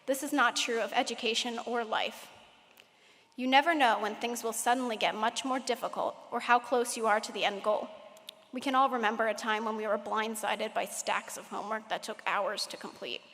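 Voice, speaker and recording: A teenage girl giving a prepared valedictorian speech at a graduation, measured and lightly humorous. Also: fairly high pitch, fairly even intonation, fairly bright tone. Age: late teens. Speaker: valedictorian speaker. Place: large hall at a graduation ceremony. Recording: echoey hall with long reverb.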